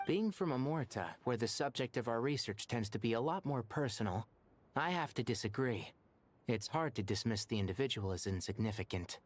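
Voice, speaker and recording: A man voicing a composed, thoughtful male scholar in a video game, speaking in an earnest, measured, polite way. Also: mid-range voice, animated, close to an everyday voice.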